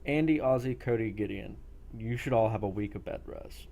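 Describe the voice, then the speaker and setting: A man working as game master, narrating a role play game in a halting way with pauses between phrases. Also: lowish voice, dark tone.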